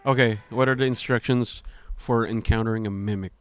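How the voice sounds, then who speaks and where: A man's voice: fairly low pitch, fairly even intonation, a little fast, faint music behind. A man giving casual reaction commentary in short, repetitive asides as a video host.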